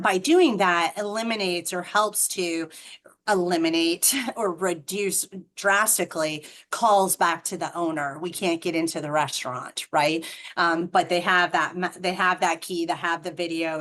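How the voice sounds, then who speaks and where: A woman's voice: on the low side, steady tone, a little slow, fairly bright tone. A middle-aged woman with a Boston accent, a franchise representative explaining the business on a video call, chatty with frequent ums and restarts.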